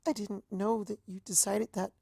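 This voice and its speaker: A woman voicing a meek, heartbroken young female pony in a storybook reading, earnest, apologetic and halting in her speech. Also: mid-range voice, fairly even intonation, gravelly, dark tone, slightly rough, no room sound, studio quality, pitched above the performer's own voice. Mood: crushed, faltering. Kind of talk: dramatised fan fiction reading.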